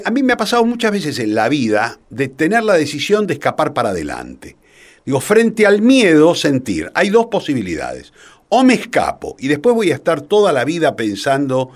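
Animated older Argentine man telling stories. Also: mid-range voice. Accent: Rioplatense Argentine. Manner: animated, self-deprecating, hesitant digressions. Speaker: interview guest, theatre playwright. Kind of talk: casual anecdotal storytelling.

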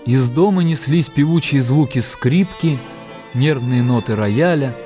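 A man narrating literary fiction for a radio drama in a measured, expressive storytelling manner. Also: mid-range voice. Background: music.

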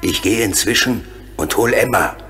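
An actor playing a calm, decisive, comradely engine driver in a children's radio play, giving clear instructions. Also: male voice, fairly low pitch, moderate pace. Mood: calm, resolute. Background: music.

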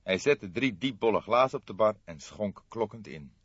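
A man reading a crime novel aloud as an audiobook narrator, in expressive scripted narration. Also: lowish voice, normal speed, breathy edge, no echo. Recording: dull lo-fi dry studio sound.

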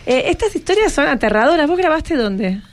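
High-voiced Argentine woman hosting radio. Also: sing-song, fairly quick. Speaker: radio host. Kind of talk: casual radio interview. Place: radio studio.

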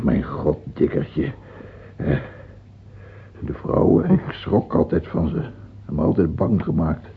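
An actor in a Dutch radio play, playing an anxious, world-weary middle-aged lover with a gravelly, husky voice and a halting, brooding manner. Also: male voice, extremely low pitch, exaggerated voice. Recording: muffled tinny old radio play recording.

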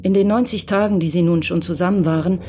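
A woman giving calm, measured scripted narration for a radio crime drama. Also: lowish voice, a little hiss or hum.

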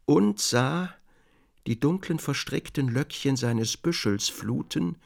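A middle-aged man narrating a literary radio drama in a measured, deliberate storytelling manner. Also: mid-range voice, creaky.

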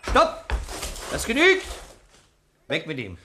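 Commanding inspector, slightly noisy recording. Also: male voice, high-pitched, wildly swooping pitch, slow, exaggerated voice. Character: commanding, dryly humorous male police inspector. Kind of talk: 1960s German radio crime drama acting.

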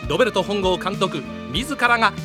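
A man playing an excitable play-by-play soccer announcer in an anime, building up each play dramatically. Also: high-pitched, moderate pace, over music. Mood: eager, building. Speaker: sports commentator. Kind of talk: anime sports commentary.

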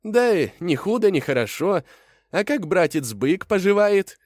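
A man's voice: sits high, sing-song, unhurried, a little mellow, no echo, studio quality, pitched above the performer's own voice, full character voice. A man voicing a small, sly storybook rabbit who talks in a wheedling, mock-polite way. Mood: chirpy, polite. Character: small cunning chirpy male rabbit, sweetly polite trickster. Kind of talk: animated fairy-tale character voice.

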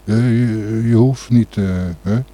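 A man's voice: mid-range voice, flat delivery, slow pace, warm tone, a little hiss or hum. A man the hosting a radio show with casual, rambling, blunt and opinionated talk.

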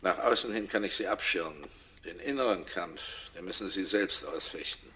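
A man's voice: deep voice, moderate pace, sharp tone, a little hiss or hum. A man playing a cool, persistent private detective in a German radio crime drama, putting terse, controlled questions with dry scepticism. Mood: grave, controlled.

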